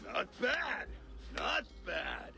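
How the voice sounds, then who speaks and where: Game role: boastful brute Viking, declamatory taunts, higher-pitched, sharp-edged. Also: male voice, wildly swooping pitch, extremely slow, creaky, faint music behind, heavily acted. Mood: grudging. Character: boastful brutish male Norse warrior trading insults. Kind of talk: video game cutscene acting.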